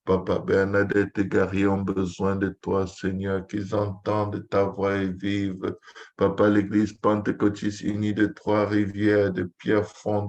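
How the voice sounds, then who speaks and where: Deep, gravelly man praying fervently. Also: a little mellow, dull audio. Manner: repetitive, pleading, chant-like invocation. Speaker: pastor leading prayer. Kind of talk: fervent extempore Pentecostal prayer.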